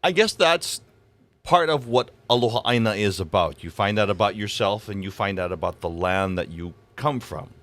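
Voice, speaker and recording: A man with a fairly low voice hosting an interview. He asks his questions in a measured, encouraging way. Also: lively intonation, a bit bright. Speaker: interview host.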